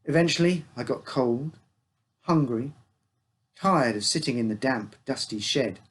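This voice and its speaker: A man's voice: medium pitch, lively intonation, unhurried, husky. A man reading a scripted uncanny story as its storyteller. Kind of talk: scripted narration of an uncanny tale.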